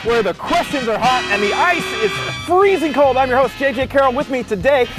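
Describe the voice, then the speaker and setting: A woman's voice: high voice, a little fast, loud music behind the voice. A woman hosting a playful talk show interview, bubbly and teasing as she asks questions. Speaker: host.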